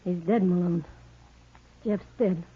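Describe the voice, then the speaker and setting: Emotional accused widow, pleading, husky, fifties radio melodrama, dull vintage recording. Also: female voice, lowish voice, fairly even intonation, takes it slowly, full character voice. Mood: grief-stricken. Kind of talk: 1950s radio detective drama acting. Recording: muffled old-time radio recording.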